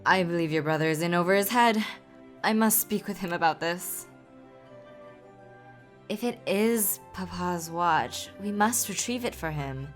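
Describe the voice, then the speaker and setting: A woman's voice: on the low side, measured pace, pauses often, faint music behind, close to an everyday voice. A woman voicing an earnest, genteel young Regency lady with polite, formal period speech, for a game. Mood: anxious.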